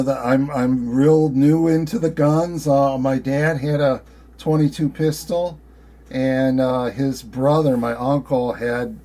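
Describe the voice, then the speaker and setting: A man with an American accent chatting casually and conversationally, in a somewhat halting way. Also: mid-range voice, a little mellow. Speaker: amateur radio operator. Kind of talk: casual chat.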